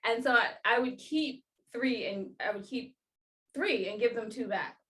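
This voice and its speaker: Young US woman, guest author, playful storyteller, slightly high voice. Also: moderate pace, a bit bright. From United States. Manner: playful storytelling, self-mocking jokes. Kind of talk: casual interview chat.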